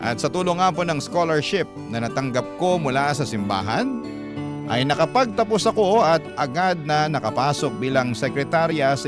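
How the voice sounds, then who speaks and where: A man reading a listener's letter in first person as scripted radio narration, in an earnest storytelling manner. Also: mid-range voice. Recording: narration over clear guitar music. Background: guitar music.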